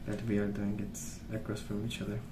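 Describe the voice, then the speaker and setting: A man speaking softly, at times almost whispering, in a gentle, unhurried and hesitant ASMR ramble. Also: on the low side, completely flat delivery. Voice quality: soft, hushed voice, at times near a whisper. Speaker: ASMR host. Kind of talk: soft-spoken ASMR rambling while colouring. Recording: roomy, distant mic with clear noise.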